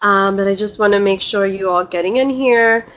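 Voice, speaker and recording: A woman's voice: medium pitch, normal speed, a bit bright. A woman hosting a live webinar, bubbly and chatty, her voice congested from a cold, laughing often as she greets people. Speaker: webinar host and spiritual teacher. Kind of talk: casual live webinar talk. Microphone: computer mic on a video call.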